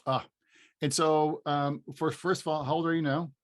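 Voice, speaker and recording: A man's voice: a little high, flat delivery, moderate pace. Man in his fifties hosting a podcast. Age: 50s. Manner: rambling, restarts phrases, laughs easily. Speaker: podcast host. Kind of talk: casual interview chat.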